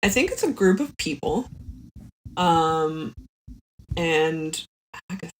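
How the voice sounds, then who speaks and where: Slow-paced woman chatting as podcast guest. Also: lowish voice, animated, slight background noise, rough recording. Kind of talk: casual chat.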